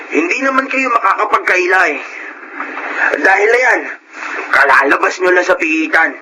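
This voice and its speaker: High-voiced bitter Filipino son, noisy audio. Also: male voice, lively intonation, moderate pace. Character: bitter, resentful, defiant young son. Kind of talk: Filipino radio drama acting. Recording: noisy radio drama audio.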